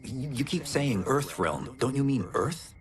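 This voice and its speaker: Game role: modest, sincere young male warrior, slow and varied delivery, heavy score behind. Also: medium pitch, big pitch swings, music in the background. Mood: earnest, unsure. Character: earnest, humble young male village fighter. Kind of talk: video game cutscene acting.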